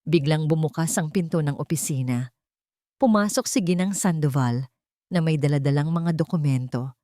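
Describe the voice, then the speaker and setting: A woman's voice: deep voice, normal speed, mellow, no echo, studio quality. A woman narrator reading a scripted romance story in a dramatic storytelling style.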